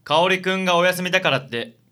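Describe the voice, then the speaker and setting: A man's voice: sits high, fast, bright, forceful tone. A man playing a suave, self-satisfied handsome detective with an affected, condescending manner in a radio drama. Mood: breezy, smug.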